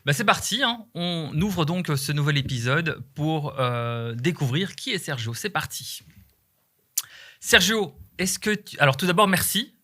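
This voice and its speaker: A man's voice: fairly high pitch, animated, measured pace, sharp tone. A man hosting a podcast, speaking in a chatty, enthusiastic way and cutting in on his guest. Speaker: podcast host. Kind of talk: casual interview conversation.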